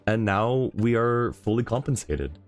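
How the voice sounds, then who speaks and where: A man's voice: lowish voice, animated, unhurried, faint music behind. A man livestreaming a game in a casual, chatty way, thinking aloud and talking to his chat.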